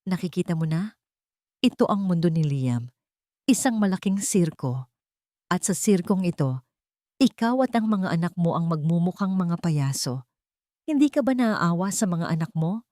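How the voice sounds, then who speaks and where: A woman's voice: lowish voice, expressive, normal speed, pauses often, warm tone, dry recording, studio quality, close to an everyday voice. A woman voicing a scheming female rival in a narrated drama, insincere, condescending and insinuating. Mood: cold, taunting.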